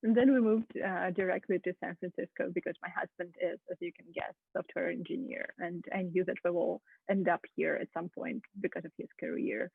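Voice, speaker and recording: A woman's voice: fairly low pitch, fairly even intonation, fairly quick, creaky, hardly any pauses, breathy edge, dry recording. A woman, a guest knitwear designer chatting casually, full of ums and uhs. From Ukraine. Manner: chatty, many ums and uhs, laughs often. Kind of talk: casual interview chat. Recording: tinny phone-like video call.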